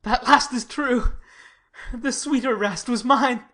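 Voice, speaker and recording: A woman acts an eager young man in love, in theatrical classical verse. The voice is high and bright, with an ardent, earnest delivery that is openly expressive. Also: takes it slowly. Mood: bright, happy. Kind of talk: classical verse drama, theatrical dramatic reading.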